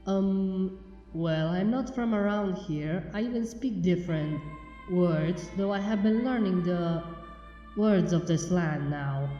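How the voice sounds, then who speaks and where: A man voicing a polite, hesitant young pony filly in a storybook, in halting, simple phrases. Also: sits high, mellow. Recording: muffled, echoey long reverb under music.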